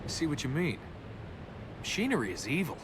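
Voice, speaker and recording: A man's voice: wildly swooping pitch, brisk. A male actor playing a cocky, wry young adventurer in a video game, with a casual, sardonic manner. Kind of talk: natural video game character acting. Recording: game capture with rumbling ambient noise.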